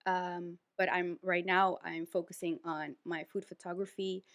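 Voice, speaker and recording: A woman of twenty-eight from Curaçao, co-hosting a casual talk show. Her voice sits slightly low, and she comes across bubbly and eager in easy chat. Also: flat delivery, measured pace. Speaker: co-host.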